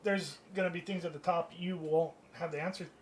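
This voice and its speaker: A man co-hosting a podcast in casual chat, enthusiastic, slangy and quick to chime in supportively. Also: fairly high pitch, steady tone, slight background noise. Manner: enthusiastic, surfer-style slang, supportive interjections. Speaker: podcast co-host.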